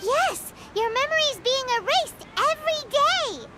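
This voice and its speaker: A tiny, excitable fairy sidekick for a video game, with an extremely high, childlike squeak. The delivery is lively and swooping, earnest and full of curiosity. Loud game sound runs behind it. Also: female voice, measured pace, heavy background noise. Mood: excited, questioning. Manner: speaks of herself in the third person, exclamatory. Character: small childlike female fairy companion, earnest, excitable, inquisitive. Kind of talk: animated video game voice acting.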